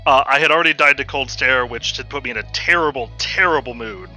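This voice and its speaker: Loud, bright-voiced man chatting. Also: mid-range voice, fast, faint music behind, poor audio. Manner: loud voice, animated retelling of his own thoughts. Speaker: tournament racer. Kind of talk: casual post-race chat.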